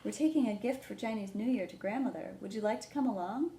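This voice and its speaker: A woman's voice: medium pitch, quick pace, dark tone, lightly acted. A woman voicing friendly, eager animals in a children's storybook, with a cheery, childlike delivery. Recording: roomy echo, background noise.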